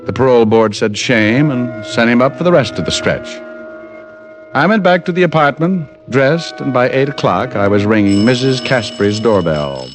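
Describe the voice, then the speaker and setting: A man's voice: medium pitch, expressive, normal speed, natural voice. An actor playing a dry-witted male private detective who also narrates, in a 1950s radio drama. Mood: narrating. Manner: dry, wisecracking, laconic. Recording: old radio broadcast with faint music.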